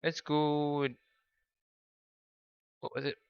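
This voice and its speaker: A man's voice: a little high, flat delivery, slow pace, clean tone, dry recording. A man hosting a casual gaming livestream, chatting with viewers in a half-distracted way. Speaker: game streamer, host. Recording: dull, lo-fi microphone sound.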